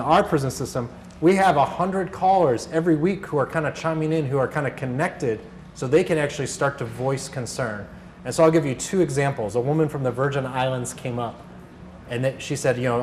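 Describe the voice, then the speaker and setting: A man giving an informal workshop talk as a radio organizer, conversational and telling anecdotes in reported dialogue. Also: mid-range voice. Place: workshop room, live talk. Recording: clear background noise.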